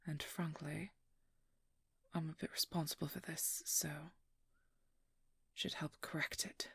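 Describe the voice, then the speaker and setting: Woman acting as determined female vampire hunter, ASMR roleplay, slightly low pitch, very dry close sound, soft, exasperated yet caring. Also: flat delivery, a little slow, dry recording, exaggerated voice. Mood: matter-of-fact, caring. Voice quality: soft, breathy, often whispered. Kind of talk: ASMR audio roleplay, intimate dramatic acting.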